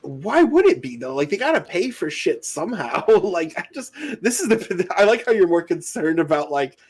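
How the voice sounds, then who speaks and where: A man's voice: sits high, big pitch swings, normal speed, breathy edge. Joking man chatting on a podcast. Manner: laughs often, loose and joking. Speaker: podcast co-host. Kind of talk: casual chat.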